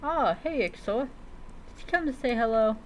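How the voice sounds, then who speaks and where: A woman streamer role-playing in character as a dragon rider in a game, speaking haltingly and repeating words. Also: on the high side, animated, slight background noise, low-quality recording. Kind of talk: in-character game roleplay storytelling, talking to pet creatures.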